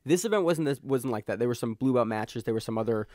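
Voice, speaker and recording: A man's voice: medium pitch, expressive, fast, darkish tone, no echo. A man co-hosting a podcast in casual chat, joking and talking over his co-host, recorded on a close mic.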